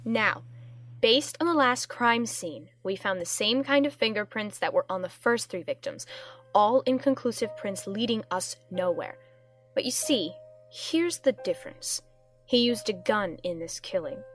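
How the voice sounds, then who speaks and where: An actress playing a firm, commanding homicide investigator in an amateur radio drama. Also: female voice, medium pitch, lively intonation, unhurried, faint music behind, natural voice. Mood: firm, businesslike. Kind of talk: amateur audio drama acting.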